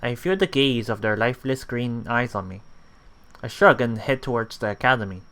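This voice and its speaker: A man reading a visual novel aloud for a let's play, halting and repeating words, breaking off for casual commentary. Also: medium pitch, fairly bright tone.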